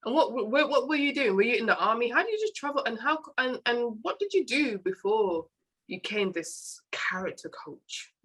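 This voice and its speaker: Bright-voiced chatty British woman. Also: on the high side, big pitch swings, normal speed, husky. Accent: British English. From England. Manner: chatty, rambling, restarts words often. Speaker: podcast host. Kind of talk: casual interview chat.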